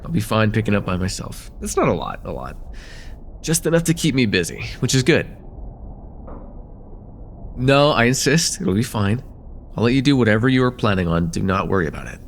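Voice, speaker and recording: A man playing an affectionate, teasing young chemistry student in a casual, intimate one-sided roleplay, talking with hesitant, soft-hearted banter. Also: on the low side, big pitch swings, fairly quick, gravelly, long pauses, slight background noise. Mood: easygoing, caring. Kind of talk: slice-of-life ASMR roleplay, intimate one-sided dialogue.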